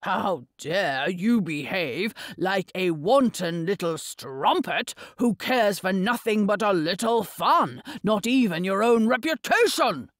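Female narrator as older gentleman father, stern, shaken, formal scolding, dry audiobook sound. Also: fairly low pitch, unhurried, husky, no echo. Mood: furious.

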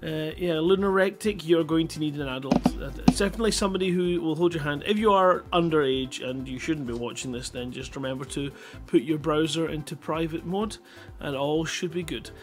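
A man's voice: a little high, a little fast, few pauses, music in the background. A middle-aged man hosting a livestream, chatting casually and rambling in a jokey, self-deprecating way into a headset mic. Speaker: live stream host.

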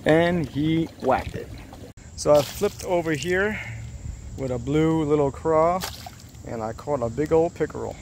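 A man with a somewhat high voice tells the camera about his catch from a small boat on a lake. He sounds excited and casual. Faint wind and water noise sit behind him. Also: a little slow. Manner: excited, chatty, talks to the camera. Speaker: fishing vlogger. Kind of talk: casual narration while fishing.

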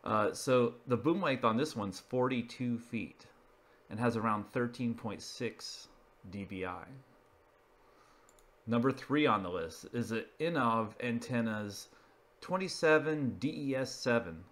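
A man's voice: mid-range voice, lively intonation, a little slow, fairly bright tone. A man presenting an informal narrated slideshow, explaining each item in a matter-of-fact way. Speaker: video presenter.

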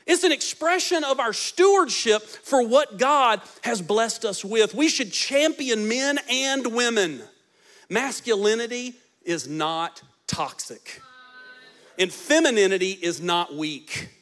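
A man in his forties preaching a sermon as pastor on a large church stage into a handheld mic, swinging between loud emphatic lines and soft, low ones. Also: animated, slow.

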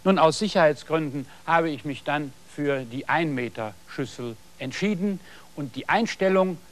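A man's voice: on the high side, expressive, normal speed. A middle-aged man hosting a TV hobby show, presenting to viewers from a studio set dressed as a patio, on a lapel mic. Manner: didactic, addresses viewers directly. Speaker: TV host.

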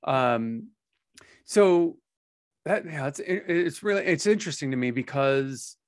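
A man's voice: fairly high pitch, expressive, unhurried, no room sound. A man in his forties from the United States hosting a podcast interview over video, talking in a rambling, hesitant way full of "you know" and "um", on a close headset mic. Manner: rambling, hesitant, many fillers. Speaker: podcast host. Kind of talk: casual interview conversation. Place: small home studio room.

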